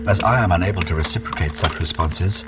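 An actor playing a detached, melancholy android in an audio drama, speaking in measured, formal phrases. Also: male voice, low-pitched, loud music behind the voice, natural voice. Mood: detached, wistful. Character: detached, melancholy shape-shifting android companion. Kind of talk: scripted audio drama acting.

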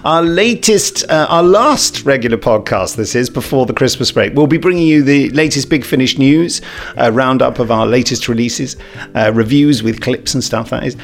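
A man's voice: medium pitch, normal speed. A British man hosting a podcast with jokey, self-deprecating, rambling casual chat. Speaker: podcast host. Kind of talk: unscripted casual banter.

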